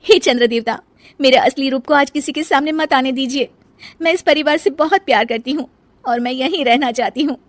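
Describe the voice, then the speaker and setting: A woman's voice: high voice, fairly quick, dry recording, pitched above the performer's own voice, natural voice. A woman voicing an anxious, meek young shape-shifting serpent daughter-in-law in a cartoon story, in a pleading, apologetic manner. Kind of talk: Hindi cartoon moral story.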